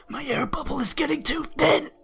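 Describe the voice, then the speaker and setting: A man voicing an earnest, hunted hero in an animated fan dub, talking to himself anxiously and dramatically. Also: very high-pitched, creaky, exaggerated voice. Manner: anxious, dramatic self-talk. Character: desperate, earnest hunted hero wrestling with his duty.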